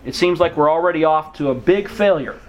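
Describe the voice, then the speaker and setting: A man's voice: on the high side, brisk, slight background noise. A man who grew up in Mexico, preaching an earnest, encouraging sermon to young people and reading scripture aloud. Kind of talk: sermon to missionary kids.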